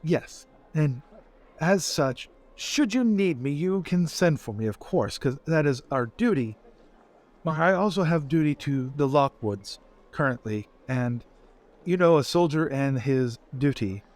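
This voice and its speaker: Man as an awkward, higher-voiced soldier. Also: lively intonation, a little mellow. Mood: apologetic, formal. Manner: hesitant, deferential, halting. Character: dutiful, awkwardly polite male soldier. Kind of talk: improvised tabletop roleplay.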